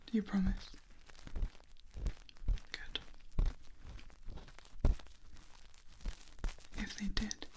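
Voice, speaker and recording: A woman playing an ancient, protective female vampire in a soft ASMR roleplay, whispering breathily in a gentle, formal, motherly manner. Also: medium pitch, a little hiss or hum, close to an everyday voice, poor audio. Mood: quietly concerned.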